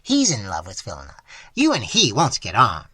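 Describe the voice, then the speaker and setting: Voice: medium pitch, huge pitch swings, moderate pace, sharp tone, slightly rough, no room sound, clean studio sound, voice pushed down, full character voice. A narrator voicing an irascible older male painter who rants and craves quarrels, with an explosive, barking delivery, in a story reading. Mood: gleeful, eager.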